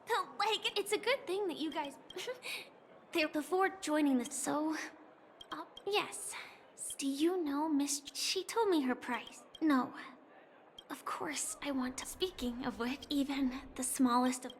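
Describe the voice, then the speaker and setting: A woman's voice: high voice, slow, a little hiss or hum. An actress playing an earnest young girl in a fantasy game cutscene. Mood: casual.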